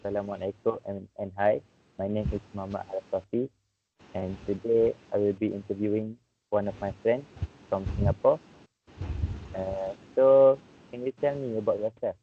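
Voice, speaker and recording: A man's fairly low voice comes through tinny, phone-like audio with a faint hiss. He asks a friend casual interview questions and often repeats words as he hesitates. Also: steady tone, normal speed.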